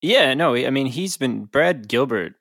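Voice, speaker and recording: A man's voice: medium pitch, lively intonation, unhurried, fairly bright tone, no room sound, studio quality. A man talking casually as a panelist on a sports podcast.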